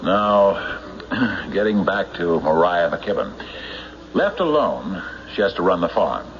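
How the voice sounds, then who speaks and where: An actor playing a suspicious American sheriff in a radio mystery, questioning deliberately with measured pauses. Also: male voice, low-pitched, very animated, heavily acted. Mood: probing, suspicious. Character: suspicious, probing male county sheriff. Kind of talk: radio mystery drama acting. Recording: muffled, hissy old radio recording.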